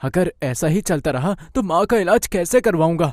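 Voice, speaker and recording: A man's voice: sits high, expressive, normal speed, no room sound, clean studio sound. A man voicing a troubled, dutiful young son in a moral-story cartoon, earnest and worried. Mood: dejected.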